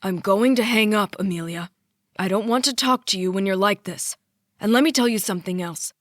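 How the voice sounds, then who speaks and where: A woman's voice: medium pitch, brisk, no room sound, close to an everyday voice. Bitter stern father, hard clipped speech, dry close sound, woman narrator doing him. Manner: curt, clipped, raised.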